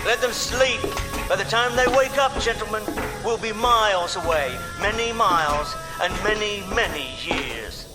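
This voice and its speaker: A man's voice: sits high, a little slow, loud music behind the voice, poor audio. An actor playing a commanding leader of a robbery gang in a radio drama, a man with a strained delivery.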